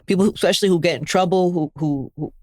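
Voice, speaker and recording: A woman's voice: on the low side, fast, no echo. A middle-aged woman hosting a podcast interview, conversational and affirming. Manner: warm, affirming, conversational with frequent mm-hmms. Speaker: podcast host.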